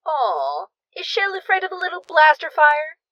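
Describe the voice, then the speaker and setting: A woman's voice: high-pitched, wildly swooping pitch, moderate pace, fairly bright tone, dry recording, exaggerated voice. A woman playing a perky, teasing young starship crew member in an audio drama. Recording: dry, slightly dull recording.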